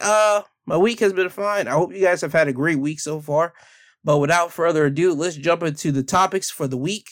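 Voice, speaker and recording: A man hosting a casual solo podcast, talking loosely with restarts and self-corrections, then reading news articles aloud. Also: on the high side. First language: English. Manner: rambling, self-correcting, chatty. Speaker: podcast host.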